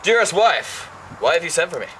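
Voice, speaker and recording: Anguished Greek king for a puppet play, acted by a young man: bright, animated, stilted delivery, faint noise behind. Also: fairly high pitch, fairly quick, low-quality recording. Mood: anxious. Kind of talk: amateur scripted puppet-show acting.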